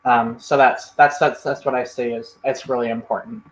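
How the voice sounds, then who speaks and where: A man's voice: medium pitch, steady tone, moderate pace, fairly bright tone, reverberant, a little hiss or hum, low-quality recording. A man hosting a casual livestream, reading and answering chat comments in a rambling, friendly way with lots of fillers and restarts. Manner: friendly, thanks viewers often. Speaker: livestream host.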